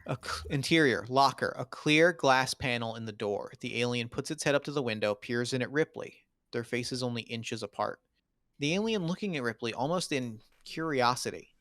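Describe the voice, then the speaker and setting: A man narrates a screenplay as the reader of its stage directions. His voice sits at a middling pitch. The sound is dry, with very little room in it. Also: animated, moderate pace, a bit bright, no echo.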